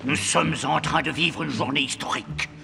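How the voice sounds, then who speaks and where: Pompous evil king dad in a fairy tale cartoon, big reverb, loud music behind. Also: a little high, steady tone, moderate pace, gravelly. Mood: smug, gloating. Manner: pompous, theatrical. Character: scheming, vengeful villain king, pompous and domineering father.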